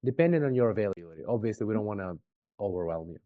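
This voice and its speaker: A man making a conversational sales pitch on an online call, informal and often checking in with questions. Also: animated, racing, no room sound, narrow, tinny audio. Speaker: sales representative for a marketing agency.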